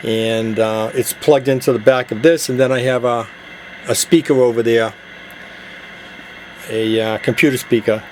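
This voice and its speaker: A man's voice: medium pitch, fairly even intonation, normal speed, pauses often, a little hiss or hum. A man giving a casual, plain-spoken hands-on product demonstration, explaining it step by step. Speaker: product demonstrator, CB radio gadget seller.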